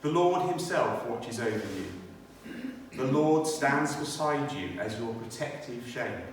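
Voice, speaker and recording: British male church speaker, testimony and scripture, thoughtful halting delivery; long reverb, faint background noise. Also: medium pitch, lively intonation. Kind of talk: personal testimony and scripture reading. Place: inside a church. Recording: echoey church hall, distant, faint noise.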